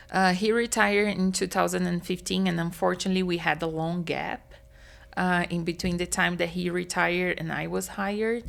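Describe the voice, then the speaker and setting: A woman, a meat science professor answering interview questions in an earnest, explanatory, slightly halting way. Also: fairly low pitch, gravelly. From Brazil.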